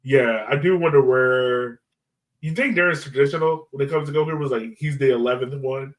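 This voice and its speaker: A man's voice: mid-range voice, a little fast, distant mic. A man co-hosting a sports podcast, casually breaking down a soccer match and repeating himself as he thinks aloud.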